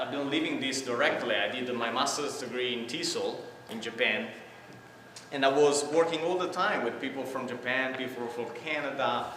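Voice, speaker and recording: A man's voice: mid-range voice, bright, forceful tone, slight background noise. A middle-aged man from Italy with an Italian accent, giving an academic conference presentation in explanatory style, with frequent "uh" and "right?" check-ins. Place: large conference hall. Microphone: room microphone heard from far off. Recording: echoey hall recorded from far off.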